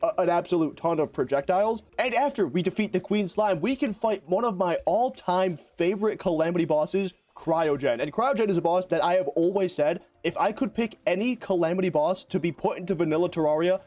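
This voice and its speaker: A young man with a raspy, hoarse voice, giving excitable, slangy gameplay commentary as a YouTuber. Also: high voice. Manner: excitable, slangy, fast-talking, rambling. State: hoarse from lost voice. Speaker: gaming YouTuber.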